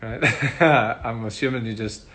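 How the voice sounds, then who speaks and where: A middle-aged man with a Northern Irish accent, casually answering fan questions in a relaxed, chatty way with frequent ums. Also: medium pitch, takes it slowly. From Northern Ireland. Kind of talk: casual livestream Q&A.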